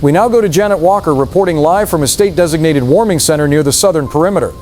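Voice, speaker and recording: A man playing a calm, formal news anchor reading an emergency bulletin in a radio drama. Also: on the high side, faint music behind, natural voice. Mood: grave, official. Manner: formal, measured.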